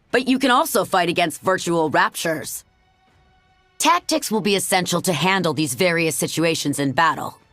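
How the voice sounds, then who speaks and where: A woman's voice: fairly low pitch, a bit bright, no echo. A woman voicing a strict, no-nonsense combat coach in a video game, with a stern, clipped, commanding manner. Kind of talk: English video game voice acting.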